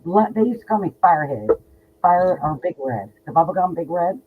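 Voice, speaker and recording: A woman's voice: on the low side, animated, normal speed, crowd noise. A woman in her fifties, a psychic medium guest, talking bluntly and outspokenly in a casual interview, often repeating words. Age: 50s. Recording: tinny phone line with faint noise.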